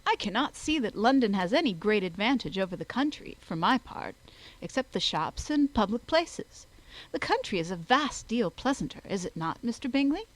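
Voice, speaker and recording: A woman's voice: on the high side, very animated, moderate pace, poor audio. A woman voicing a fussy, effusive, self-satisfied middle-aged mother in a period novel audiobook. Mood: indignant, triumphant. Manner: effusive, emphatic.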